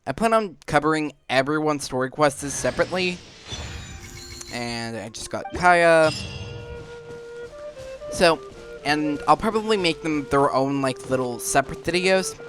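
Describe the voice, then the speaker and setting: A man's voice: on the high side, measured pace, long pauses. A man giving casual, rambling gameplay commentary with a hesitant delivery. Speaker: gaming video narrator. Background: game music.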